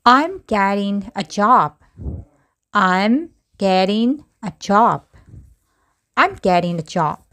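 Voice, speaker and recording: Woman language teacher, measured drill delivery, mid-pitched voice, each phrase said slowly and repeated. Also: lively intonation, a bit bright. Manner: slow, deliberate, word-by-word repetition. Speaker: English teacher.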